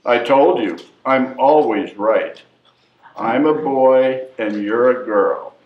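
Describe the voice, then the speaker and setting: Stage comedy role: smug, confused baby boy acted by a man, unhurried, muffled echoey distant sound. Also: medium pitch, lightly acted. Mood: cocky. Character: cocky, easily baffled talking baby boy. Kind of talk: readers theatre stage performance.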